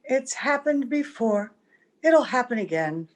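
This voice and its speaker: A woman's voice: on the high side, lively intonation, unhurried. A woman playing a strange, weary, evasive young woman in an old-time radio drama. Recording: home video-call audio, music behind.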